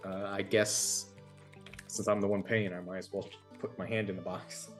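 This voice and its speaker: A man's voice: low-pitched, level tone, normal speed, faint music behind. A man chatting casually as a player in a tabletop game.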